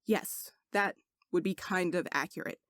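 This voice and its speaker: A woman's voice: lowish voice, measured pace, fairly bright tone, no echo, studio quality. Woman reading as an arrogant, earnest male sorcerer knight. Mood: earnest, explaining. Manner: formal, lecturing.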